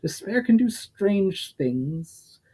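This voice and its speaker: A man's voice: high voice, big pitch swings, extremely slow, dark tone, husky, voice pushed up, heavily acted. A man voicing a cold, scornful ancient demon. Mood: derisive. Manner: cold, derisive, lecturing. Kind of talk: storytime reading aloud.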